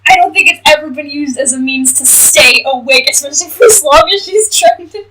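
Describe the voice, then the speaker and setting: A woman's voice: high-pitched, brisk, bright, forceful tone, distant mic, a little hiss or hum, rough recording. A woman co-hosting a podcast with casual, joking banter, recorded slightly away from the mic. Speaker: podcast co-host.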